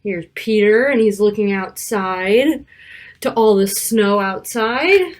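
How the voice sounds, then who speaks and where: A woman reading a picture book aloud to children, expressive, with casual asides about the pictures. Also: mid-range voice, takes it slowly. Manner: child-directed, expressive, stretching key words and pointing out pictures.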